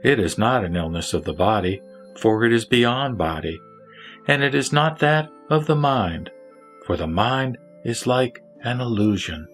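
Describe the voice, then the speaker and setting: A man's voice: medium pitch, lively intonation, unhurried, fairly bright tone, soft background music. A man playing a wise, ailing lay sage who teaches in a solemn, formal way in a scripture audio drama. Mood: solemn, instructive.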